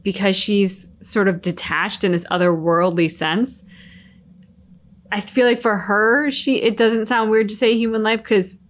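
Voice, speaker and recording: A woman's voice: mid-range voice, steady tone, a little slow. A woman co-hosting a reaction video in casual conversation. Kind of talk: casual chat.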